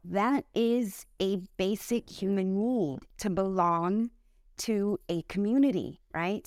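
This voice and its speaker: A woman from the United States answering as a podcast guest, in a measured, explanatory way. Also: on the low side, a little mellow, no room sound, clean studio sound. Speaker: podcast guest, communication consultant. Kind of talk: interview answer.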